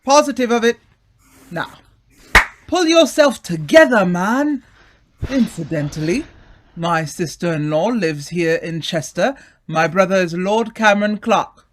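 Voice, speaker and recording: An actor playing a condescending, chummy upper-class gentleman in an old-time radio drama, bluff and exclamatory. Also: high-pitched, lively intonation, a little slow, a little hiss or hum. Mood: bluff, bossy.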